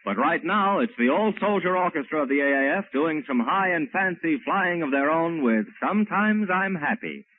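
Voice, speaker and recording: 1940s American radio announcer, tinny broadcast sound. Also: male voice, a little high, expressive, normal speed, few pauses, slight background noise. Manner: formal, declamatory. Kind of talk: 1940s military radio program announcing, scripted narration.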